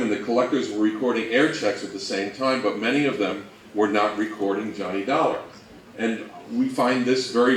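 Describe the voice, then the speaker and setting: A man giving an informal, conversational presentation, explaining things and posing rhetorical questions as a co-presenter. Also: medium pitch. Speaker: co-presenter and old-time radio researcher. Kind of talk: informal slide presentation talk. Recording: echoey room, distant mic, faint noise.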